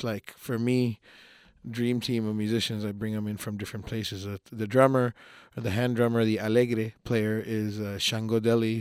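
A man's voice: on the low side, a little mellow, clear, resonant tone, no echo. A Colombian-raised man, a musician guest in a radio studio, talking loosely with many fillers and restarts, his English a mix of American accents. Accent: mixed American English. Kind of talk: radio interview.

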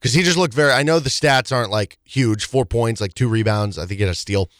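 Man, hoarse, rambling sports talk, dry close sound. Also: mid-range voice, fast talker, a bit bright, no room sound. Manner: rambling, many restarts and fillers. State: hoarse, voice partly lost. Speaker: sports talk show co-host. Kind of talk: casual sports chat.

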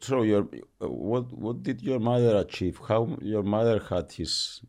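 A man's voice: on the low side, unhurried, a little mellow. A man interviewing a footballer, asking leading questions and finishing the guest's sentences.

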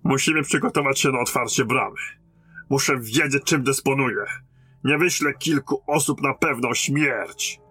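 An actor playing a battle-hardened lieutenant in command of a besieged stronghold in a fantasy audio drama, with a commanding manner. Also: male voice, mid-range voice, pushed and bright, husky, no echo, soft background music. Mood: firm, businesslike. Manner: commanding, barking orders in battle, measured when talking.

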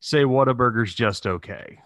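A man with an American accent chatting casually as a podcast co-host, animated, opinionated and freely swearing. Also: medium pitch, moderate pace, no room sound, studio quality. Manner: animated, profane, opinionated ranting. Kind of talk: casual chat.

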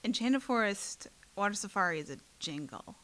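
A woman's voice: mid-range voice, lively intonation, pushed and bright. A woman co-hosting a podcast, chatting casually in a playful, joking way. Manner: playful, quick to joke. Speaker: podcast co-host. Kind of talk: casual chat.

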